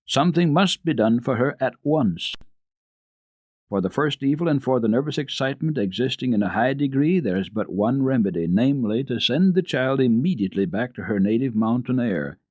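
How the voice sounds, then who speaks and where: An earnest family doctor, read by a man, speaking in a middle-pitched voice. He is grave, firm and deliberate, stressing his advice in a dry storybook recording. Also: lively intonation, darkish tone, dry recording, natural voice. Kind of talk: dramatic storybook reading.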